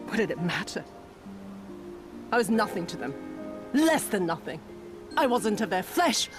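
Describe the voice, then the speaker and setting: An actress playing an embittered, cruel female spy in a video game, with theatrical, deliberate delivery and archaic formal diction. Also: a little high. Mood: anguished, furious. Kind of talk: dramatic video game villain monologue. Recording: dramatic music behind the voice.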